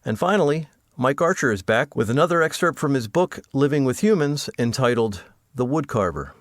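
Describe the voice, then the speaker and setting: A man's voice: medium pitch, lively intonation, brisk, no echo. Man hosting a podcast, dry close sound. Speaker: podcast host. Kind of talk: scripted podcast introduction.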